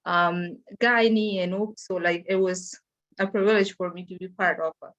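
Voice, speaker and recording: A woman from Afghanistan with an Afghan accent, telling her own story as a panelist in halting, simply phrased English. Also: on the low side, steady tone, fairly bright tone. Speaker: panelist sharing personal experience. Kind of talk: personal account on a virtual panel. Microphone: video call. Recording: dull, lo-fi online call audio.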